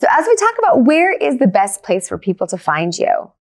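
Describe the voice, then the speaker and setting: A woman's voice: sits high, wildly swooping pitch, fairly quick. A woman hosting a casual interview, agreeable and affirming toward her guest. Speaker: podcast host.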